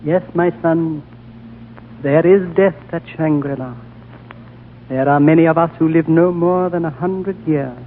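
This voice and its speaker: A gentle ancient lama. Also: male voice, on the high side, fairly even intonation, measured pace, a little hiss or hum. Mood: solemn. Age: older. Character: ancient male High Lama, calm and wise. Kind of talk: 1930s radio drama acting. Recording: tinny old radio broadcast.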